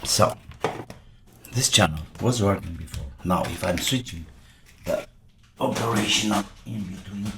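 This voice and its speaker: A man thinking aloud while he repairs electronics, muttering to himself in short fragments with pauses. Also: on the low side, gravelly, background noise. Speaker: electronics repair video maker.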